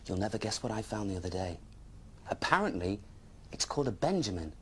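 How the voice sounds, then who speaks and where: A man's voice: fairly low pitch, sing-song, fairly quick, slight background noise. A man voicing an eager, wide-eyed young dragon who tells his adventure with childlike wonder in a children's storybook. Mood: eager, amazed.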